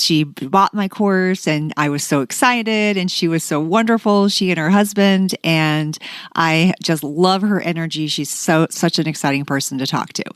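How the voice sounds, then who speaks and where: A woman's voice: fairly low pitch, clean tone, no echo, clean studio sound. A woman hosting a podcast in a conversational, enthusiastic and emphatic way, moving between chat and sponsor reads. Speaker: podcast host.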